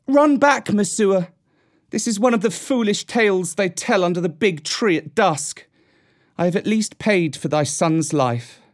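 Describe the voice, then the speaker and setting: A man's voice: high voice, moderate pace, pitched above the performer's own voice, natural voice. A man voicing a proud, scornful young boy raised by wolves, in archaic, declamatory storybook speech. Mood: earnest, urgent. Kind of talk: storybook character voice.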